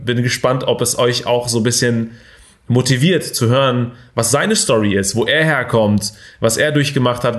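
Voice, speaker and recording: A young man hosting a motivational podcast, energetic and full of fillers and English buzzwords. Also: mid-range voice. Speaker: podcast host. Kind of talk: casual motivational talk.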